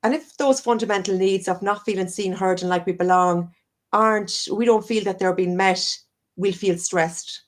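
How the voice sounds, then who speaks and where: A woman's voice: mid-range voice, normal speed. An Irish woman, a wellness coach, talking earnestly and at length as a guest on a live-streamed video call interview, often circling back and correcting herself. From Ireland. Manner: earnest, rambling, self-correcting.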